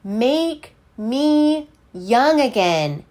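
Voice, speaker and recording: A woman's voice: high voice, big pitch swings, extremely slow, darkish tone, exaggerated voice. A woman voicing an achy, weary old man who strains to sound jolly, in a storybook reading. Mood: wishful.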